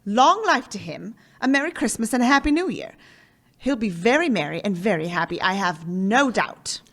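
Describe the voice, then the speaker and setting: A woman's voice: mid-range voice, sing-song, moderate pace, a bit bright. A woman playing a scornful, indignant mother. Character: indignant mother of a poor family. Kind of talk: live radio play acting.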